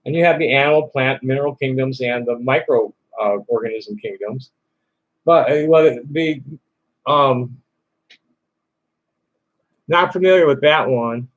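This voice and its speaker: A man hosting a live call-in stream, rambling in a halting way with many fillers and restarts. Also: medium pitch, poor audio. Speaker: livestream host answering chat questions. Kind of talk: rambling casual chat. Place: Tucson, Arizona.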